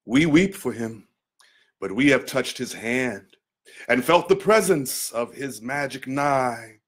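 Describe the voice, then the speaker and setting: A male actor performs a poem in a mid-range voice. His delivery is very unhurried, solemn and declamatory. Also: medium pitch, lively intonation. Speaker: actor reading poetry. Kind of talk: dramatic poetry recitation.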